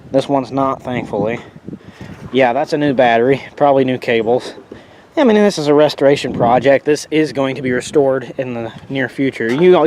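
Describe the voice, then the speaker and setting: A sniffly man in a casual vlog, rambling about a tractor's restoration with restarts and asides. His voice sits mid-range, recorded outdoors on a handheld camera mic. Clear background noise runs under the speech. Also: mid-range voice. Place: outdoors by an old tractor on a sunny day.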